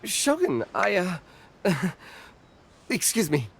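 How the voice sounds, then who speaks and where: A timid townswoman from an anime game speaks in a lowish voice. She stammers nervously with jumpy, animated intonation, and there is clear noise behind her. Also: very slow, full character voice. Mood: nervous, flustered. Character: flustered, timid female townsperson and stall keeper. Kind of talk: anime video game voice acting.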